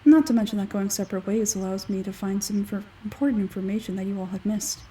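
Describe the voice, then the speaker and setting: A woman voicing an aloof, logical, smug scholarly man from a game, measured and condescending, with a husky forced-low tone. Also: fairly even intonation, warm tone, recorded from a distance, natural voice.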